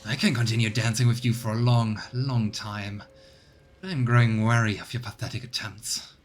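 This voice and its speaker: A man's voice: fairly low pitch, steady tone, normal speed, lightly acted. A man voicing a proud, mocking alien warrior from a game in a taunting manner. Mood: mocking defiance. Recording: clear background noise.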